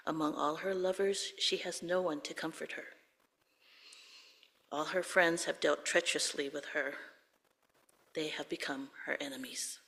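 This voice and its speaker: A reader gives a solemn scripture lesson at a slow, measured pace. The voice has a gravelly edge. A long church reverb trails each phrase. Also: medium pitch, a bit bright. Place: church sanctuary.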